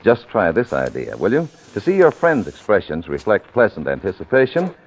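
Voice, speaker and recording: A man's voice: on the low side, wildly swooping pitch, moderate pace, poor audio. An American man announcing in scripted 1940s radio style, a sponsor's spokesman with polished, emphatic salesmanship. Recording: old radio recording, faint music behind.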